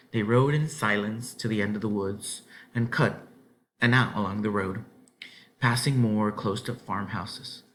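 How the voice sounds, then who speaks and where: A man narrating a children's novel aloud in an expressive storybook style. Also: on the low side.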